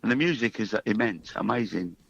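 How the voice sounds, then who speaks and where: Gravelly man in his fifties, muffled. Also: lowish voice, expressive, brisk, fairly bright tone. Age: 50s. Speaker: musician guest. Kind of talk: casual radio interview chat.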